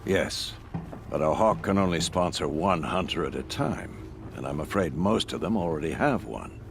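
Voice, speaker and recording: An actor playing a courteous veteran hunter in a video game who greets newcomers in a formal, explanatory manner. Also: male voice, low voice, animated, normal speed, noisy background. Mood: politely explaining.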